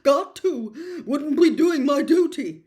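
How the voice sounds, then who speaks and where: An anxious storybook minister, acted out by a woman. He is fussy and self-justifying, pushing his case in loud, clipped, insistent phrases. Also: sits high, lively intonation, takes it slowly, voice pushed up. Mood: pressured, insistent.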